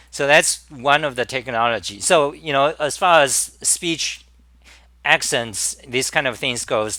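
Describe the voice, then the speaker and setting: A man with a Chinese accent hosting a live-stream talk, speaking in halting bursts with many restarts and repeated words. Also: medium pitch, bright, forceful tone, dry recording. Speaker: host.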